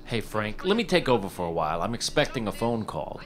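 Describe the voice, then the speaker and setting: An actor playing an eager, fast-talking struggling screenwriter at a hotel desk in a period radio drama, wisecracking and pleading, stammering when flustered. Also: male voice, on the low side, big pitch swings. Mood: brusque, scheming.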